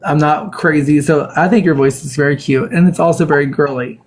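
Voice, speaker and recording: A woman's voice: sits low, a little fast, a little mellow, slight background noise. A woman hosting a casual live chat who asks long, rambling questions and talks encouragingly to her guest. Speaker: host of a live panel.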